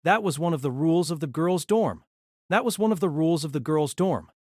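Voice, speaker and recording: A male narrator reading a light novel aloud, his voice sitting a little high and moving lively through each sentence. The recording is dry and close, with no room sound. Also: fairly quick, no room sound.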